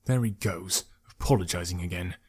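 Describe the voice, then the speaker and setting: A man's voice: mid-range voice, animated, fast, a little mellow, close to an everyday voice. A man voicing a self-assured male archer in a story, with a commanding, curt and dry delivery. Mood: rueful, disgusted.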